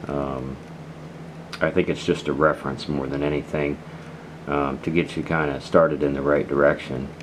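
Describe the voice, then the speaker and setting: A man's voice: very deep voice, little pitch movement, moderate pace, background noise. A man giving a casual, unscripted product demonstration as a hobbyist reviewer, talking in a hesitant, rambling way.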